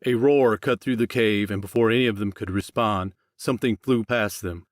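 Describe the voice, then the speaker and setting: A man's voice: fairly low pitch, moderate pace, no room sound. A man reading scripted fiction as an audiobook narrator.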